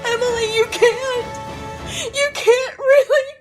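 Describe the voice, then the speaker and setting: Shrill, desperate sobbing village wife. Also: female voice, steady tone, slow, over loud music. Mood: terrified begging. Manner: wailing, sobbing repeated protests. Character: desperate pleading village wife and mother chosen to die. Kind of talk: radio drama acting.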